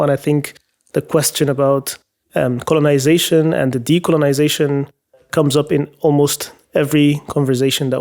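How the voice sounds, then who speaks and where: A man from Egypt hosting a podcast round-table, speaking thoughtfully with some fillers and pauses. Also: a little high, a little mellow. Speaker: podcast host. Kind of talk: casual round-table chat.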